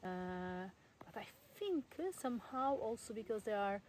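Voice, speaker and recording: A young woman from Portugal with a Portuguese accent, talking casually to camera in her garden, with many fillers and soft laughs. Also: medium pitch, animated, normal speed, slight background noise. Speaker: vlogger. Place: garden at home in the countryside, outdoors.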